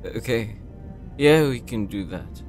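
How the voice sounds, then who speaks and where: A gentle, tipsy older brother played by a man, unsure and soft-hearted. He speaks slowly at a medium male pitch in an audio drama, over clear background music. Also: animated. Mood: concerned, gentle. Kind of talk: audio roleplay acting.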